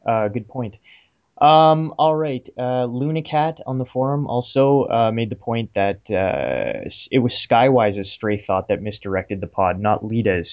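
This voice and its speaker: A man's voice: medium pitch, unhurried. A man with a Canadian accent, co-hosting a casual fan podcast in an easygoing way. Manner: easygoing, laughs often. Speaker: podcast co-host. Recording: slightly dull lo-fi audio.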